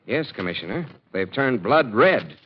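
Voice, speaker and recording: An actor playing a keen, confident amateur detective who reasons aloud emphatically, in 1940s radio drama style. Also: male voice, medium pitch, sing-song, moderate pace, loud music behind the voice, close to an everyday voice. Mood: explaining. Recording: muffled, noisy 1940s radio recording.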